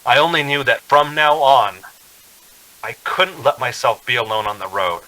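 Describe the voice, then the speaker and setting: A man's voice: medium pitch, normal speed, sharp tone, lightly acted, poor audio. An actor playing a haunted, anxious young man driving cross-country, speaking in a tense, hesitant radio drama style. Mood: shaken, desperate. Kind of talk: old-time radio drama acting with narration.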